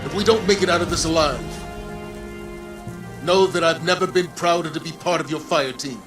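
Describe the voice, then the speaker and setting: Casting: male actor as devoted game soldier, lighter higher male voice, earnest, sounding away from the mic. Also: moderate pace, far from the mic, over music, close to an everyday voice. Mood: solemn pride. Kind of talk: dramatic game dialogue.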